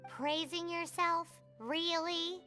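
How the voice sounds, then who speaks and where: A woman voicing a tiny, childlike, teasing fairy companion in an anime video game, squeaky and exclamatory. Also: steady tone, takes it slowly, pushed and bright, heavily acted. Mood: cheeky. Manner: squeaky, exclamatory, teasing, refers to herself by name. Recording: game music behind the voice.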